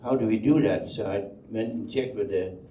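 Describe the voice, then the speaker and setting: Deep-voiced older Danish man, roomy recording. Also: dark tone. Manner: halting, rambling, with repeated words. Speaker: speaker telling life story. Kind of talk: unscripted oral history storytelling. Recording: dull, roomy, distant microphone, faint noise.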